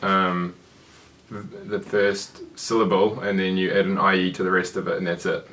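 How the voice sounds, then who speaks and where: Dry-joking New Zealand man, low and bright. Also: level tone, moderate pace, recorded from a distance. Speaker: guest. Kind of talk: casual chat.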